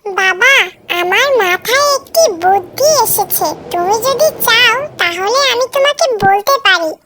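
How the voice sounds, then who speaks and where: A woman's voice: extremely high pitch, animated, unhurried, very noisy. A woman voicing a clever, polite young village boy in a moral cartoon, eager to help. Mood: eager, hopeful. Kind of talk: cartoon voice acting, moral tale.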